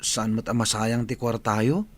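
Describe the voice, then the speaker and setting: A man's voice: fairly low pitch, steady tone, a little slow. A man playing a determined, lovestruck young student in a radio drama, with a Filipino accent. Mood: earnest. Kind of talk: village radio drama acting.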